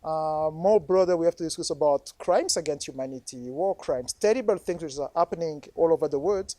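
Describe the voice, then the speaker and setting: Halting man with a French accent. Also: fairly high pitch, dark tone, no room sound. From Congo. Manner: halting, repeats words and restarts phrases. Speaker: keynote speaker. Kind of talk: formal conference speech.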